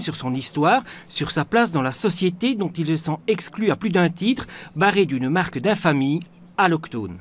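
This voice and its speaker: A man narrates a report from a prepared script. His voice sits fairly high for a male narrator, and a light layer of noise runs behind it.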